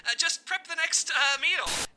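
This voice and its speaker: A man's voice: very high-pitched, unhurried, bright, forceful tone, heavily acted. An actor playing Skip, a flustered male agent posing as a waiter, who stammers and stays overly polite in an audio comedy.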